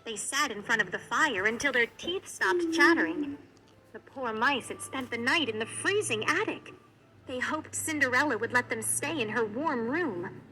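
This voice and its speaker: High, animated woman narrating a storybook. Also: normal speed, background noise. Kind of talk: expressive children's read-along narration. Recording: music behind the voice.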